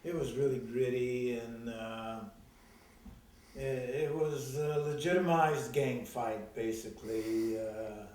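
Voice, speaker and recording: A man's voice: medium pitch, level tone, darkish tone, distant mic. Older man reminiscing in an echoey room. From Gary, Indiana, USA. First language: Greek. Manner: reflective, halting, with long pauses. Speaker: oral history interviewee. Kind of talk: reminiscing conversation.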